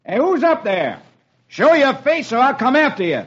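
A take-charge cowboy hero, as a man reader acts him, high in pitch and lively. His talk is folksy and commanding, heard on a dull vintage radio recording. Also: brisk, breathy edge, heavily acted.

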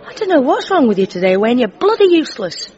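A woman's voice: high voice, big pitch swings, a little mellow, a faint crowd. An actress with a British accent playing a blunt, no-nonsense, scolding woman in a comic audio drama. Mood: exasperated. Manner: brisk, scolding, colloquial. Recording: dull lo-fi audio.

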